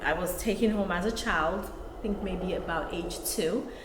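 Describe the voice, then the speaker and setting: A middle-aged woman from Nigeria, an author and pharmacist, telling her life story as an interview guest in a relaxed, reflective way. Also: fairly low pitch, moderate pace, noisy background. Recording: echoey room.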